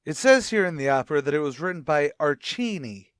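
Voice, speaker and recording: A man playing a dour, long-suffering opera critic with a deadpan, refined manner in an old-time radio comedy. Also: a little high, fairly quick, clean tone, no echo, clean studio sound. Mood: weary, disdainful.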